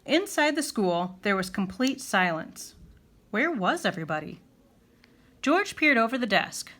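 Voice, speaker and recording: Animated, bright-voiced woman reading a children's story. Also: on the high side, a little slow. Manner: animated, clearly phrased storytelling. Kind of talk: expressive scripted children's story narration.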